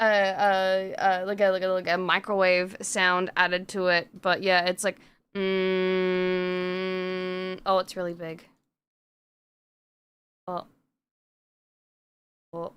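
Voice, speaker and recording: A woman's voice: lowish voice, little pitch movement, slow, long pauses, fairly bright tone, smooth. A young woman streamer chatting casually with viewers, swearing freely and laughing often. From Australia.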